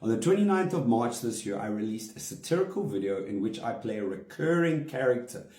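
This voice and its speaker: A comedian speaks straight to camera, indignant and emphatic, and he hits single words hard. His voice has a mellow, dark tone. The recording has a noticeably roomy echo. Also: medium pitch, expressive, a little fast. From South Africa. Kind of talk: direct-to-camera statement.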